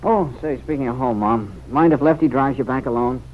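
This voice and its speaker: A man's voice: medium pitch, fairly quick, phone-quality sound, lightly acted. An actor playing a teasing, good-humoured young man in an old-time radio drama, with a bantering manner. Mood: playful. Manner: bantering, chuckling. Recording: noisy vintage radio recording.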